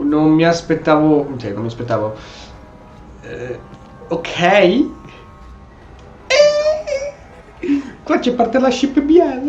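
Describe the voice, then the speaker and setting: A man's voice: on the high side, roomy sound, muffled recording, a little hiss or hum. A man giving casual, reactive commentary as he plays a video game. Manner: animated, reactive. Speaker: gameplay streamer.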